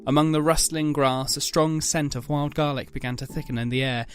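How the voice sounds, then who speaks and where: A man's voice: a little high, fairly even intonation, brisk, faint music behind. A man giving scripted dramatic narration of a story.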